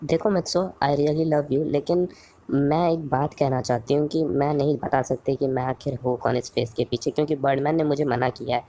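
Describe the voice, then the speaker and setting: A woman playing an affectionate girl superhero in a cartoon dub, speaking in a gentle, sincere way. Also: very deep voice, steady tone, moderate pace. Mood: reluctant. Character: caring, affectionate girl superhero with secret identity.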